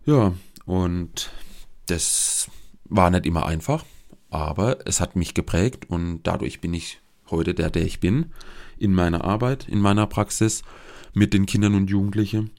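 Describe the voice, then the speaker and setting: A young man with a regional dialect speaks in a very low, gravelly voice. He talks earnestly through his own podcast alone, often pausing and restarting as he finds his words. The delivery is plain, steady monologue. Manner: earnest and searching, with frequent hesitations and restarts. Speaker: podcast host, social worker.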